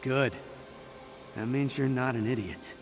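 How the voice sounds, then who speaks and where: A man's voice: mid-range voice, unhurried, soft background music, lightly acted. A man voicing a defensive, earnest young executive turned ally in a video game. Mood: wry.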